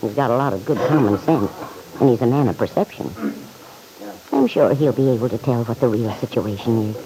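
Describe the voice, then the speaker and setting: An actor playing a kindly, earnest confidant who talks gently and sympathetically in an old-time radio drama. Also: male voice, mid-range voice, fairly quick, noisy background, close to an everyday voice. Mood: concerned, kindly.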